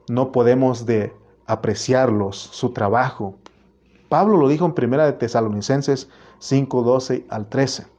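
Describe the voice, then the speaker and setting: Mexican pastor preaching, mellow low voice, kinda muffled lo-fi recording. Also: male voice. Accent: Mexican Spanish. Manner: earnest, repetitive preaching with restarts. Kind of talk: sermon.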